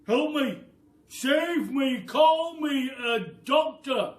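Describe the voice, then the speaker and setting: A man voicing a nasty old husband from a children's storybook, helpless and frustrated, wailing loudly. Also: very high voice, voice pushed up, exaggerated voice. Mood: panicked yelling. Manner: loud, drawn-out wailing. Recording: echoey room, distant mic, faint noise.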